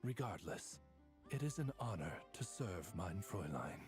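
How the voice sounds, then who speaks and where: A man's voice: low-pitched, big pitch swings, moderate pace, music in the background. A man voicing a loyal male raven familiar in a video game, formal, deferential and grandiloquent in manner. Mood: devoted, stately. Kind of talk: scripted game dialogue.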